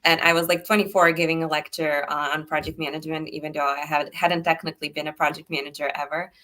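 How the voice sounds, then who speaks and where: A young woman from Serbia, a startup founder, chatting in a relaxed way as a guest on a video call interview. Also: deep voice, fast talker. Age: young adult. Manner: relaxed, chatty, frequent "like" fillers. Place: indoor room, bright wall behind.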